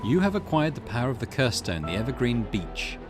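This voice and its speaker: A man hosting a gaming video, reading a visual novel aloud and commenting in an expressive storytelling manner. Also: medium pitch, fairly quick. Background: music.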